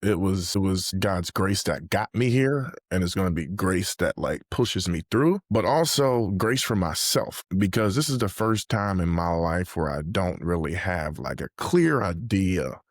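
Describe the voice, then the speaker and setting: A man's voice: low-pitched, lively intonation, unhurried, hardly any pauses, dry recording, studio quality. A man in his late twenties speaking earnestly and reflectively as a guest in a conversational interview, often dropping in "like". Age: late 20s. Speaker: podcast guest, mentor.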